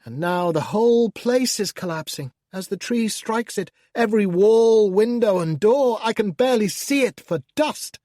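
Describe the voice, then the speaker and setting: A man narrating an audiobook as a scornful, menacing male demon who taunts the reader, theatrical and swinging between hushed and loud. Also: medium pitch, expressive, moderate pace, no echo, clean studio sound, lightly acted. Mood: mocking. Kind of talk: first-person audiobook narration.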